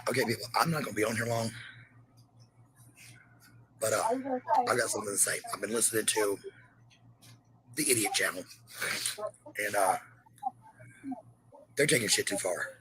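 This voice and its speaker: A woman's voice: rumbling low, expressive, moderate pace, pauses often. A middle-aged woman, combative and profane as she rants on a livestream. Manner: confrontational, profane. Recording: noisy livestream audio.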